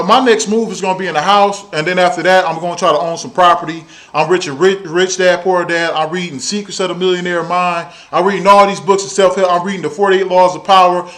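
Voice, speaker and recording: Male vlogger, 30s, Southern US accent, high voice. Casual storytelling rant, blunt, sweary, emphatic repetition. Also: fairly bright tone. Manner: blunt, profane, emphatic, repeats phrases for effect. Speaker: video blogger.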